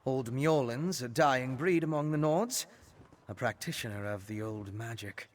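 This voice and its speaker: An actor playing a scholarly, reserved vampire investigator in a fantasy video game, speaking in a measured, articulate, courteous way. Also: male voice, medium pitch, natural voice. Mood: reserved, explanatory. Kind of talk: video game character dialogue.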